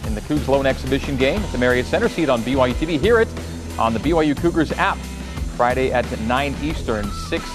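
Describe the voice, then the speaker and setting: A man's voice: mid-range voice, expressive, quick pace, music in the background. A man hosting a sports broadcast, reading promos and introductions in a polished way. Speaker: sports show host.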